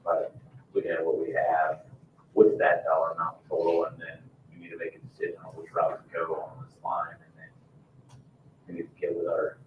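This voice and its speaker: American male council member, low and flat in delivery, running informal discussion in a dull-sounding room. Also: normal speed. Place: indoor town council meeting room. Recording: roomy, distant, muffled meeting-room audio with faint noise.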